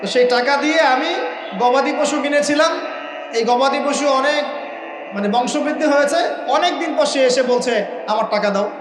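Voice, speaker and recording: A man's voice: very high-pitched, moderate pace. A man delivering an Islamic sermon with storytelling, emphatic and rhetorical, repeating key phrases and putting questions to his listeners. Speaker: Islamic preacher. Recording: echoing voice with music laid under. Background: background music.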